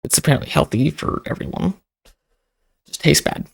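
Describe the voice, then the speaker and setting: A video host giving casual reaction commentary in an informal, expressive, sweary way. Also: medium pitch, moderate pace, vocal fry, husky, no echo, clean studio sound.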